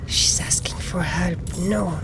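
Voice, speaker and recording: A woman playing a video game in character, talking deliberately and softly to her companions as she commentates. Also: on the low side, creaky, in a whisper. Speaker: gamer roleplaying her own character. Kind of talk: immersive roleplay game commentary. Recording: game music behind her.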